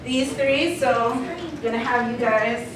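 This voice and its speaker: A woman's voice: high-pitched, unhurried. A woman hosting an informal convention panel as the creator of a podcast. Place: indoor convention panel room. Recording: echoey, noisy convention room, distant mic.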